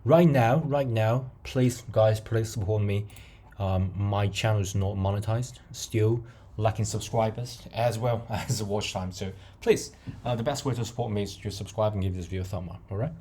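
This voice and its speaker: A man whose first language is not English, hosting a casual coding tutorial, talking haltingly with many fillers and restarts and chuckling often. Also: fairly low pitch, warm tone, a little hiss or hum.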